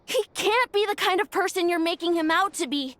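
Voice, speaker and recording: A woman's voice: very high voice, brisk, a bit bright. A woman voicing a loyal, fiery young child in a video game, with a childlike voice. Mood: upset, defiant. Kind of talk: video game character acting.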